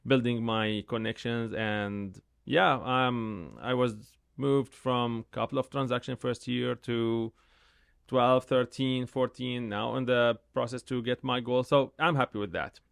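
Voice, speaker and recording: A gravelly-voiced man in his thirties whose first language is Arabic speaks in halting, restarting English. He picks up energy when telling a story. His pitch sits in the middle range. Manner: halting, repeats words, animated when telling stories. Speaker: real estate agent, guest. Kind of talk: casual interview talk.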